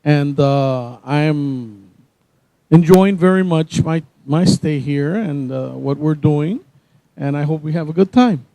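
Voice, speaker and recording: A man from Panama opens a workshop in a mellow, slightly raised voice. He talks in halting phrases, and the recording is dry and close. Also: on the high side, no room sound. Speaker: workshop facilitator. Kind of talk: opening a workshop session.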